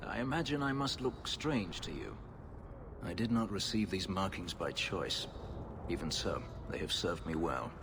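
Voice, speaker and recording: Deep, brooding fugitive warrior. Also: male voice, expressive, noisy background. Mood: guarded, earnest. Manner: formal, grave, measured speech. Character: brooding, bitter elven fugitive slave warrior. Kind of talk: video game voice acting.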